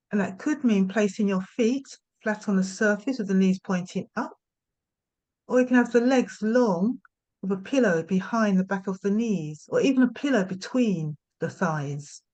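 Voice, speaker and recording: A woman leading a guided meditation, speaking in a gentle, nurturing way with unhurried invitations. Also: medium pitch. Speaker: yoga nidra meditation guide.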